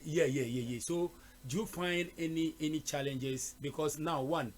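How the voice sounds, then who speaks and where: A man's voice: a little high, steady tone, moderate pace, a little hiss or hum. A man hosting a casual interview, chatty, with frequent fillers and repeated words. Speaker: host.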